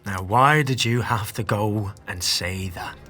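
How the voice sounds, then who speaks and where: An actor playing a gruff, sardonic scavenger gang boss who taunts and threatens in a fantasy audio drama. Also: male voice, on the low side, measured pace, over music, close to an everyday voice. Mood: menacing, mocking. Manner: foul-mouthed, taunting, threatening.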